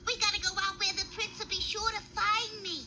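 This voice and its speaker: A woman's voice: very high voice, level tone, brisk. A woman voicing a gushing, bossy, lovelorn maiden in a theatrical vintage cartoon style. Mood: impatient. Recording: old cartoon soundtrack, light noise.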